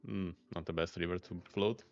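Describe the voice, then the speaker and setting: A man's voice: low voice, steady tone, normal speed, creaky, no room sound, muffled recording, faint music behind. A man with an Italian accent, talking through his poker hands on a live stream, thinking aloud and muttering hand names. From Italy.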